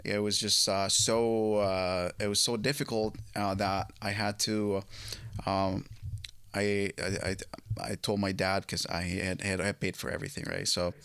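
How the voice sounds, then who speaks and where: Guest: man from Egypt, late 30s, lowish voice, dry close sound, stop-start delivery. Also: level tone, a bit bright, clean tone, dry recording. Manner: halting, many fillers and restarts. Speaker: guest guitarist. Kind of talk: casual interview.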